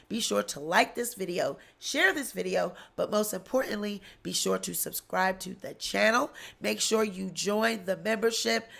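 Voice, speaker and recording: A middle-aged woman with a nasal voice, hosting a live celebrity gossip stream in a sassy, animated way, recorded on a studio mic in a small studio. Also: medium pitch, vocal fry. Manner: sassy, animated, swearing and laughing. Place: small studio with blue backdrop. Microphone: large studio mic on boom arm.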